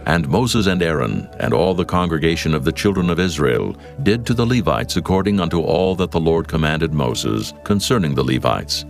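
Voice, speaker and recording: A man reading scripted Bible narration in a solemn, measured and formal way. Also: rumbling low, big pitch swings, creaky. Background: music.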